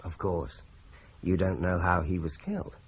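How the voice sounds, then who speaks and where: A man playing a shrewd, low-voiced police detective. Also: steady tone, moderate pace, slight background noise, close to an everyday voice. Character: shrewd, probing male police investigator. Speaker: police detective investigating a murder. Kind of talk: radio drama acting. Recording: dull lo-fi radio recording.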